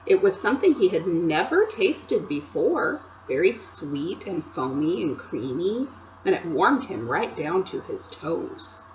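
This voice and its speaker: A woman teacher reads a chapter book aloud in a slow, unhurried way. Her voice rises and falls a great deal as she tells the story, adding chatty asides to her young listeners. The recording is dull and lo-fi, with a faint hum behind her. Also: fairly low pitch, big pitch swings. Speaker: teacher reading to children.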